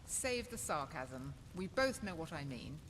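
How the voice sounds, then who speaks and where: A woman's voice: deep voice, big pitch swings, measured pace, fairly bright tone, a little hiss or hum, full character voice. An actress playing a stern, blunt female councillor in a fantasy game, speaking in an admonishing way. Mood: disapproving. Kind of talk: cinematic fantasy game voice acting. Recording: long hall reverb, music behind.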